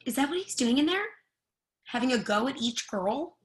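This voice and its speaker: A woman's voice: sits high, animated, moderate pace. A woman playing a wry, bawdy young would-be model in a play reading, with a teasing way of talking. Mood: curious, wry.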